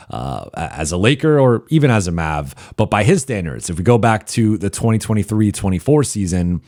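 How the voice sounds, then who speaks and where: Hoarse man, very low pitch, dry close sound; sports reporter working through a stats-heavy mailbag. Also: a little mellow, dry recording. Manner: analytical, reels off statistics with frequent "uh" pauses. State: hoarse, partly lost voice. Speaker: basketball reporter and show host. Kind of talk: solo mailbag podcast commentary.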